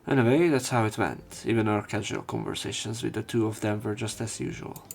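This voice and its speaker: A man narrates a visual novel as a let's play host. His voice is fairly low with a lot of creak, and he mixes reading with casual commentary. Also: steady tone, brisk, soft background music.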